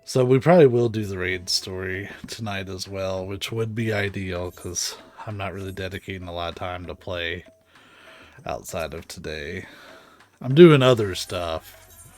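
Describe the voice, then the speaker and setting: A man's voice: sits low, measured pace. A man giving casual, chatty, wry commentary as he streams a game. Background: soft game music.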